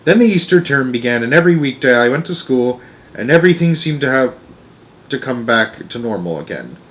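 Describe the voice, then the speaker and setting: A man narrating a children's novel aloud in an expressive storytelling style. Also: medium pitch, fairly quick.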